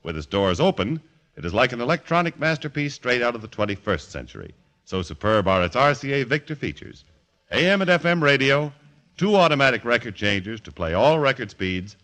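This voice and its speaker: A man's voice: mid-range voice, fairly bright tone. A man announcing in 1940s radio style, with a polished, emphatic scripted sales delivery.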